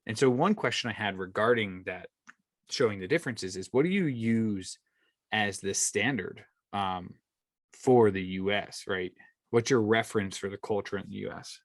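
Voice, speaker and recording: A man's voice: on the low side, normal speed, no echo, studio quality. Rambling man hosting an interview. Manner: rambling questions with many restarts. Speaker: podcast host. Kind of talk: conversational interview.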